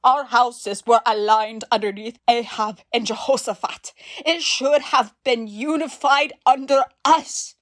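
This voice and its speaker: A proud, high-voiced, slow-speaking queen. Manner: imperious, theatrical, deliberate. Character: proud, grieving, ruthless ancient queen and mother. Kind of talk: dramatized Bible audio drama.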